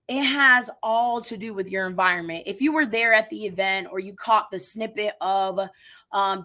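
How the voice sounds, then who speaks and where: Bright-voiced upbeat woman, a bit raspy. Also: mid-range voice. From United States. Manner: energetic, upbeat, talks straight to viewers. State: slightly raspy voice. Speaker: livestream host. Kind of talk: motivational live stream talk.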